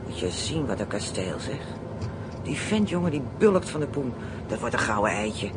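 A man acting in a radio play as a brash, greedy criminal, slangy, boastful and excitable. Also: normal speed, close to an everyday voice. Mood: excited, greedy. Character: brash, greedy, playful Amsterdam criminal plotting kidnappings. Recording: radio play with clear vehicle rumble.

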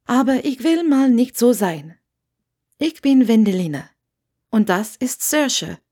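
A woman's voice: on the high side, very animated, takes it slowly, a little mellow, heavily acted. A woman voicing a friendly, mischievous witch in an audiobook, blunt and self-assured in a matter-of-fact, teasing way. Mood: mildly indignant. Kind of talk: audiobook character dialogue.

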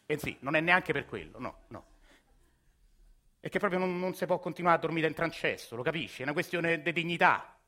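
A man, narrating and acting his own story in a radio theatre monologue, soft-spoken and hesitant. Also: on the high side, expressive, brisk. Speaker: narrator and lead. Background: music.

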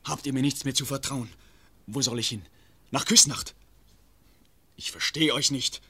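An actor playing the governor's loyal, agitated servant in a classic radio play, earnest and declamatory. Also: male voice, medium pitch, measured pace, sharp tone, breathy edge. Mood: anxious.

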